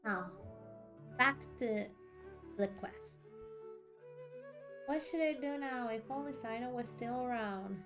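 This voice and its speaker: Slow-paced, playful woman streamer, high voice. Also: over music. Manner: rambling, playful. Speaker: video game streamer. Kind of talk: casual live gameplay chat.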